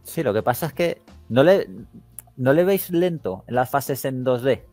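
A man's voice: medium pitch, animated, unhurried, a little mellow, no room sound, soft background music. A man with an accent from Spain in a casual chat, slangy and full of interjections as a podcast panelist.

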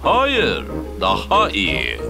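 A man's voice: on the low side, wildly swooping pitch, very slow, creaky, over loud music, full character voice. A man voicing a kindly, playful father pig in a dubbed preschool cartoon. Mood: encouraging. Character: cheerful adult male pig, a kindly father.

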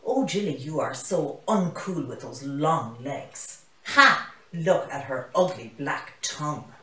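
A woman voicing a mean, mocking animal in a storybook, with loud, drawn-out taunts. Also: deep voice, lively intonation, exaggerated voice. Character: mocking, unkind animals jeering at a giraffe. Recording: roomy, mic some distance away.

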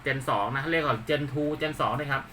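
Thai guy doing a casual gear demo, really bright sharp voice, repeats himself, kinda far from the mic. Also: fairly high pitch, normal speed. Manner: informal, repetitive, punctuates with polite particles. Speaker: YouTube channel host. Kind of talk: casual hands-on product demonstration. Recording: distant mic with faint background noise.

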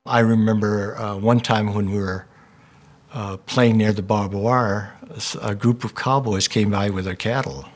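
A man's voice: fairly low pitch, fairly even intonation, moderate pace. An older man recalling his childhood in a plain, matter-of-fact way. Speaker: interviewee.

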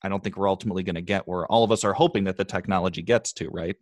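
A man's voice: sits low, racing, no room sound, clean studio sound. A man hosting a podcast interview, conversational and reflective, agreeing with his guest. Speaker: podcast host.

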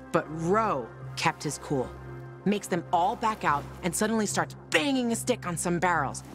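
An actress voicing a blunt, tough, foul-mouthed young woman fighter, wry and loyal, in a video game. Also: lowish voice. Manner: blunt, swearing, conversational. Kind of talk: video game dialogue acting.

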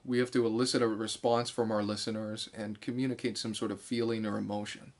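A man in his thirties giving an instructional talk as a musician teaching, explaining things in a deliberate way. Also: on the low side, flat delivery. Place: small bare room indoors.

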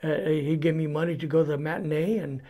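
Older Californian man, slightly high voice. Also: steady tone, quick pace, mellow. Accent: Californian American. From California, United States. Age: 70s. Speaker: interview guest giving testimony. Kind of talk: personal life story in an interview. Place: indoors before a cloth backdrop.

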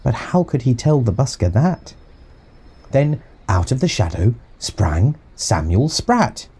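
A man's voice: mid-range voice, very animated, takes it slowly, warm tone, slightly rough. A man narrating a children's audiobook, reading rhyming verse rhythmically and expressively. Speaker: storyteller reading to young children.

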